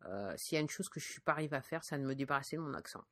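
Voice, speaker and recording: A woman's voice: deep voice, animated, racing, fairly bright tone, no room sound, studio quality. A woman from France, an independent musician, talking casually in a monologue full of fillers and restarts. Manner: hesitant, many fillers and restarts.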